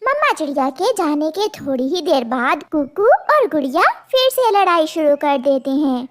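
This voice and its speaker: Woman, storyteller, high pitch, scripted narration, children's cartoon tale. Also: very animated, moderate pace.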